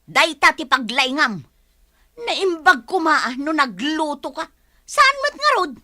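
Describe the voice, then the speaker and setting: High-pitched, forceful farm wife and mother, weary and easily riled, Philippine radio drama. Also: sing-song, slow pace, dry recording, studio quality, full character voice. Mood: angry.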